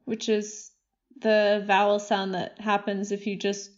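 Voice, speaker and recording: A woman co-hosting a podcast in a relaxed, measured casual chat. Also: medium pitch, highs cut off. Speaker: podcast co-host.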